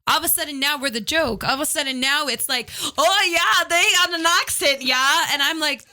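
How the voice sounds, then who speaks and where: Bright-voiced tipsy woman guest. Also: sits high, a little fast, dry recording. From United States. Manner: animated, swearing, laughing often. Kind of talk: casual podcast chat.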